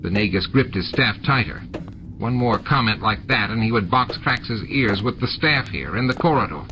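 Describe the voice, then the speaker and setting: A man's voice: on the low side, animated, normal speed, muffled recording. A man reading scripted story narration as an audiobook narrator. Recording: noisy audiobook with added sound effects. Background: added sound effects and ambience.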